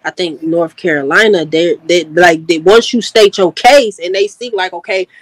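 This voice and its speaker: A woman's voice: lowish voice, normal speed, dry recording. A woman hosting a casual livestream chat, laughing easily and talking in loose slang. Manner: laid-back, laughing, slangy storytelling. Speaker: host.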